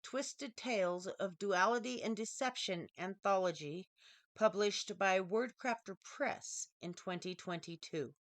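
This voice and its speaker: A woman reading a scripted fairy tale aloud as a storyteller, with deliberate, dramatic phrasing. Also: medium pitch, no room sound, studio quality. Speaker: author reading her own story.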